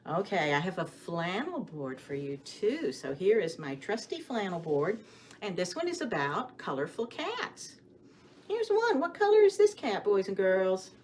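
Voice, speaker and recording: A woman reading stories and rhymes aloud for young children as a storytime host, with a sing-song, expressive delivery, often putting questions to the children. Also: mid-range voice. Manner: addresses children directly with questions and pauses for answers. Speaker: children's librarian hosting storytime. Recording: clearly audible background noise.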